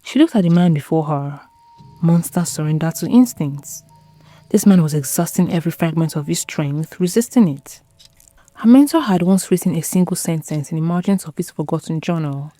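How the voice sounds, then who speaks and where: A woman with a lowish, very mellow voice narrates gothic romance fiction. Her delivery is measured and solemn, set over a light music bed. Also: lively intonation, no room sound. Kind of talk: dramatic scripted story narration.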